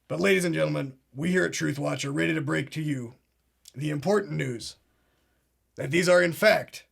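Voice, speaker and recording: A man doing a pompous conspiracy talk-show host with a gravelly, husky voice pitched a little high. He drives every line with self-important certainty and leans on "ladies and gentlemen" like a catchphrase. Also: full character voice. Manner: pompous, keeps saying "absolutely" and "ladies and gentlemen". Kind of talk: comedic parody show.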